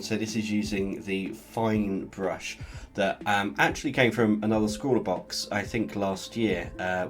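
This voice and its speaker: A man with a stuffy cold, chatting casually as he talks through a painting. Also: on the low side. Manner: relaxed, chatty, explains as he paints. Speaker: art channel host. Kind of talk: casual art tutorial narration.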